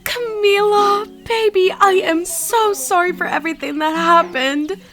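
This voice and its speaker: A woman's voice: very high voice, fairly even intonation, a little slow, music in the background. A woman playing a grieving, wounded mother in a game roleplay, with an emotional, pointed delivery. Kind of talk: online game roleplay voice acting.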